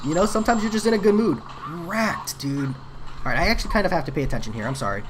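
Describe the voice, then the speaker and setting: A man's voice: a little high, lively intonation, fast talker, background noise. A man streaming a mobile game, chatting casually with viewers while he plays. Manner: chatty, answers chat viewers. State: voice getting worn. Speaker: gaming streamer. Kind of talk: casual live commentary while playing.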